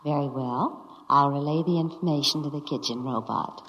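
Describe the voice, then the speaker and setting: A woman's voice: low voice, normal speed. An actress playing a motherly, besotted spaceship robot in a 1950s science fiction radio drama, courteous and doting. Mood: serene, attentive. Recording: dull old radio recording with noise.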